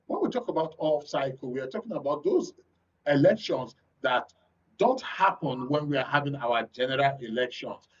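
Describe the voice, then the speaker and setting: A man with a Nigerian accent hosting a current affairs discussion, explaining in a measured way. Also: medium pitch, lively intonation, distant mic, highs cut off. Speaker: radio and TV talk show host.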